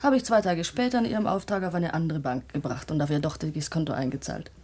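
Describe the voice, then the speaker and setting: An actress playing an obedient, matter-of-fact housemaid in a 1960s radio play. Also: female voice, low voice, fast, a little mellow, close to an everyday voice. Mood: dutiful, subdued. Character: obedient housemaid aiding two crooks. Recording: noisy old radio play recording.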